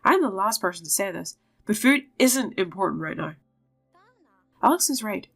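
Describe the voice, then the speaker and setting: A woman's voice: mid-range voice, lively intonation, moderate pace, gravelly, a bit bright, faint music behind. A woman reading story dialogue from a video game aloud, playing the parts herself.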